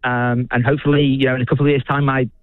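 A man's voice: mid-range voice, level tone, no echo. British man on a tinny phone line. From United Kingdom. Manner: enthusiastic, halting, many restarts and fillers. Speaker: physicist and researcher. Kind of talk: radio interview guest.

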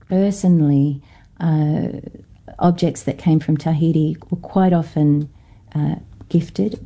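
Low, mellow Norfolk Island woman. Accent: Norfolk Island, Australian-influenced. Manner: thoughtful, hesitant, many fillers. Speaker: guest, academic. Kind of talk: radio interview.

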